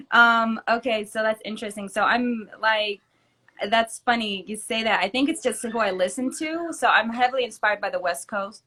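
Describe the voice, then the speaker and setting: A young woman, a rapper born in Germany to Turkish parents and raised in the US, talking casually in an interview with lots of fillers and quick laughs. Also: fairly high pitch, pushed and bright. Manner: chatty, laughs often, many fillers. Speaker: rapper and entrepreneur, guest.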